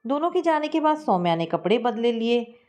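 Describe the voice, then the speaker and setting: A woman's voice: on the high side, sing-song, normal speed, a little mellow. Woman narrating a family story.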